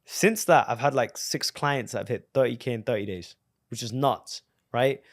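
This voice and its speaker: A man's voice: medium pitch, moderate pace, dry recording. A man hosting a podcast, talking in a casual, enthusiastic and profane way, full of bro slang. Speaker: podcast host and business coach. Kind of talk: casual interview conversation.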